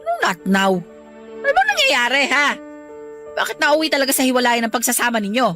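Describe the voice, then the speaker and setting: A woman's voice: sits high, sing-song, a little fast, pushed and bright, faint music behind, exaggerated voice. A woman playing an older grandmother and mother of the heroine, nagging and scolding in a radio drama.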